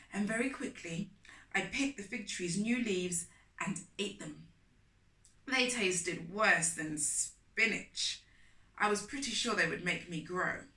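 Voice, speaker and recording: A woman reading aloud as a children's storytime host, with deliberate, emphatic, expressive phrasing. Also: mid-range voice. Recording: roomy echo, distant microphone.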